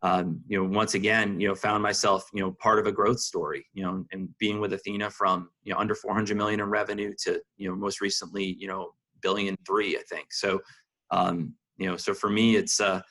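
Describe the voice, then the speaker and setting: A man with a fairly low, forceful voice gives conversational interview answers like a business executive. His tone is bright, and he fills his sentences with "you know" as he explains things. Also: little pitch movement, fairly quick, low-quality recording. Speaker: senior marketing executive being interviewed.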